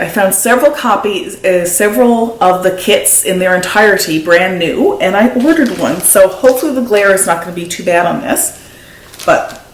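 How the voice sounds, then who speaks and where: Chatty woman with a Newfoundland accent. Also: medium pitch. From Newfoundland and Labrador, Canada. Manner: chatty and enthusiastic, gushing over crafts. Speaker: knitting podcast host. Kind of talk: casual show-and-tell chat. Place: at home. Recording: echoey room with faint noise.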